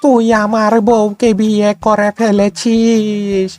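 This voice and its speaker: Scheming male cartoon fox played by a woman, vengeful, taunting, slow drawn-out speech, music underneath. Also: mid-range voice, little pitch movement, a little mellow, close to an everyday voice. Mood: vengeful, threatening. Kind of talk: Bengali cartoon voice acting.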